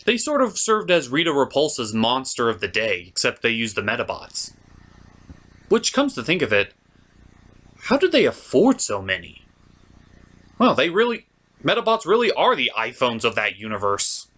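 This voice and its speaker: Animated man reviewing cartoons. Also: mid-range voice, normal speed. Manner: animated, opinionated, conversational asides to the viewer. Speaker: host of a cartoon review show. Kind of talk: informal scripted review commentary.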